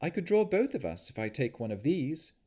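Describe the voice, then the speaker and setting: A man's voice: a little high, big pitch swings, brisk, dark tone, voice pushed up. Man voicing an earnest young woman warlock. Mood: coaxing.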